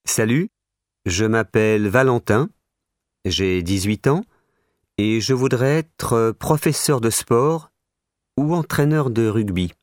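Slow-speaking sporty young man played by a man. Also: medium pitch, no echo, studio quality, natural voice. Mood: earnest, keen. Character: eighteen-year-old sporty young man keen on coaching. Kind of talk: scripted listening-exercise monologue, slow and clear.

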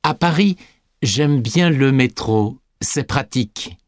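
An actor playing a young sporty French man answering scripted interview questions with clear enunciation. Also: medium pitch, lively intonation, slow pace, no room sound, studio quality. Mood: friendly, measured. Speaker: interviewee in a language listening exam.

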